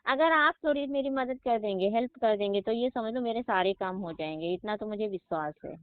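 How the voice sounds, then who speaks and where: A woman's voice: mid-range voice, fairly quick, clean tone, natural voice. A woman playing a pleading, coaxing young sister-in-law, a student, in a phone conversation with a wheedling, complaining manner. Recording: dry tinny phone-call audio.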